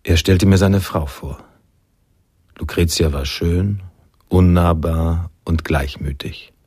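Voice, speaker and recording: An actor playing a reflective, nostalgic male narrator in a noir radio drama, speaking in a measured, literary manner. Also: low voice, steady tone, a little mellow, husky, dry recording, studio quality, full character voice. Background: jazz music.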